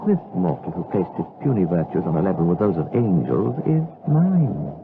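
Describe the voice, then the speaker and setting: An actor playing a malevolent, commanding demon tempter in a gothic audio drama, with an imperious and taunting manner. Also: male voice, deep voice, sing-song, normal speed, creaky, noisy background, exaggerated voice. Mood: mocking, gloating. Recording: band-limited lo-fi audio under loud music.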